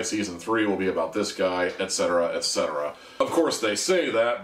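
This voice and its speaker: A man giving a casual, candid review monologue to listeners, with conversational asides. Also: low-pitched. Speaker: audio drama reviewer. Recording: echoey room, distant microphone.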